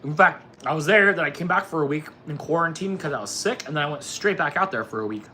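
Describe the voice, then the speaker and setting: Male streamer, 40s, bright edgy tone, casual off-the-cuff chat, noticeable background noise. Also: on the high side, quick pace. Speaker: livestream host. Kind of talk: casual chat with live viewers.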